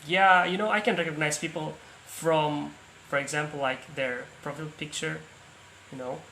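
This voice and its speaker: Bright, echoey man chatting. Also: on the high side, steady tone, moderate pace, vocal fry. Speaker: online voice chat participant. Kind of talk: casual chat. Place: online voice chat. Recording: echoey room, faint noise.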